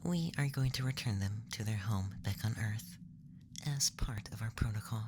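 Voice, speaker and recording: A man playing a gentle, apologetic alien examiner in soft-spoken, often whispered ASMR roleplay, close to the microphone. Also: fairly low pitch, normal speed, background noise, natural voice. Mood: calm, gentle. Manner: hushed, often whispering, soothing. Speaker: ASMR performer.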